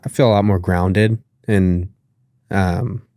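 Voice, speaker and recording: Deep-voiced nasal man, casual guest. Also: steady tone, slow pace, dark tone, no echo, studio quality. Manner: casual, loose, swears freely, many fillers. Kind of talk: casual interview conversation.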